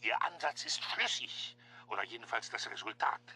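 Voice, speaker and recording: Whispery, sharp-edged old scholar. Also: male voice, medium pitch, animated, normal speed, soft background music, full character voice. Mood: grave, urgent. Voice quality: breathy, half-whispered. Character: old, ailing, cautious scholarly man on the phone. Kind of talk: German radio crime drama acting.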